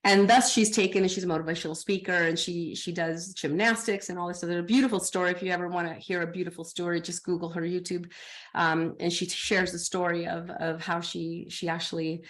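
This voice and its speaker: Animated woman coach, lively talk. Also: fairly low pitch, a little fast. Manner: animated, acts out her inner dialogue. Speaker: coach and podcast guest. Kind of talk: conversational podcast interview.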